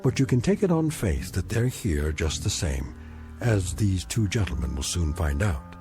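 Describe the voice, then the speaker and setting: A man narrating a science fiction anthology drama from a script, in a measured, wry and ominous manner. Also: low-pitched, big pitch swings, dark tone, faint music behind.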